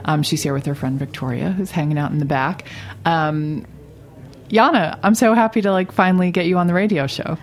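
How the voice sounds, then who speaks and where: A woman's voice: low-pitched, fairly quick. A woman co-hosting a radio show, chatting in a friendly way with lots of fillers. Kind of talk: casual interview chat.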